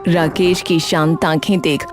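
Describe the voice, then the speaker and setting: A woman narrates a cartoon story from a script in a fairly low voice. Music plays clearly behind her. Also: steady tone, unhurried.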